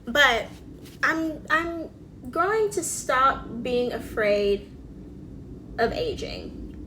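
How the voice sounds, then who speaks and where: Young woman, mid-twenties, a touch high-voiced, chatty get-ready-with-me vlogger full of fillers and asides. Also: noisy background. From Alabama. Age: 20s. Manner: chatty, filler-heavy, drops to whispered asides. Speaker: beauty vlogger. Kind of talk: casual get-ready-with-me chat.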